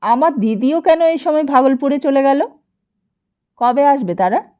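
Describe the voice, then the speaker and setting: Woman reader as bossy flustered man, insistent questioning, bright high voice, slightly muffled audiobook recording. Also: measured pace. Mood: anxious. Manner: insistent, exclaiming, firing questions. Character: agitated, bossy brother-in-law, bewildered man demanding answers. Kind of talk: audiobook character dialogue.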